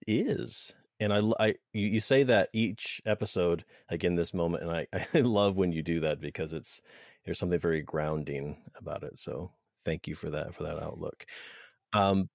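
Very deep-voiced male podcast host. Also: moderate pace, gravelly, no echo, clean studio sound. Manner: joking, rambling, self-interrupting. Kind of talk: casual podcast chat.

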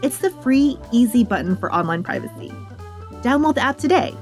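A woman's voice: fairly high pitch, lively intonation, brisk, a little mellow. A woman announcer delivering a scripted promotional read. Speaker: announcer and presenter. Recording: music behind the voice.

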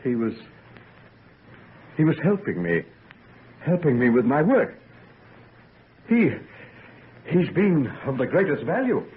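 An actor in an old-time radio drama playing an obsessed young scientist, shaken yet proud, halting and repeating his phrases theatrically. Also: fairly high pitch, very animated. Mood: halting, uneasy. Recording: tinny, noisy vintage radio recording.

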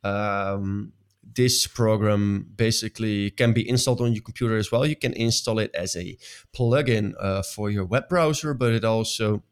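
A man's voice: fairly low pitch, clear, resonant tone. A man speaking English as a second language in a casual chat, hesitant, with many fillers and restarts. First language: not English.

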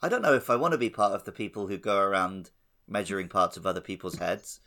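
A man's voice: sits low, fast talker, sharp tone. A man co-hosting a podcast, chatting casually with a wry, conversational manner. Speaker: podcast co-host.

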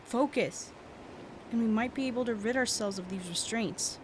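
A woman playing a determined, earnest young heroine in an audio drama, with an intense, deliberate delivery. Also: a little high, close to an everyday voice. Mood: urgent, resolute. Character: determined, earnest young heroine fighting to save her family. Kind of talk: audio drama acting with narration. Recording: recording with faint background noise.

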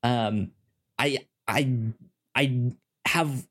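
Halting man chatting on a podcast. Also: mid-range voice, husky. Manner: halting, stammering, repeats words. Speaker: podcast co-host. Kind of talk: casual chat pitching films on a movie podcast.

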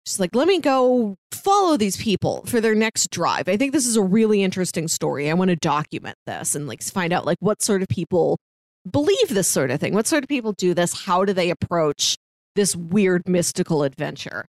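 A woman's voice: on the low side, very animated, fairly quick, no room sound. A woman co-hosting a podcast, chatting casually as she gives recommendations and retells stories, acting out bits of imagined dialogue. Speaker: podcast co-host giving recommendations. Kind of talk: casual chat about films, books and audio dramas.

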